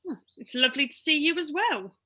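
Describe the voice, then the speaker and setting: A woman's voice: high voice, expressive, a little fast, sharp tone, no echo. A woman playing a breezy, lovestruck, cheeky young sister in a radio pantomime.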